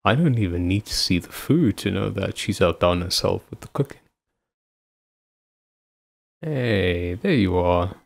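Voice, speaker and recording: A man playing a devoted, affectionate husband in an intimate audio roleplay, with a husky voice. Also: low-pitched, expressive, unhurried, long pauses, heavily acted. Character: devoted, affectionate husband, playful then anxiously caring. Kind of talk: intimate ASMR boyfriend-style roleplay acting.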